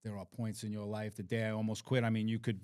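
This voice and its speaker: A man hosting a podcast interview who asks questions haltingly, often restarting his sentences. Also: fairly low pitch, little pitch movement, a little mellow, smooth, no echo, studio quality. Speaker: podcast host.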